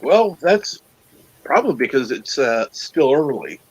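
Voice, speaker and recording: A man in his fifties talking as a guest on a call. He speaks hesitantly, with many pauses and fillers. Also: medium pitch, sing-song, husky, no room sound, dull audio. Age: 50s. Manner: slow and hesitant, lots of fillers. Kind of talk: casual chat.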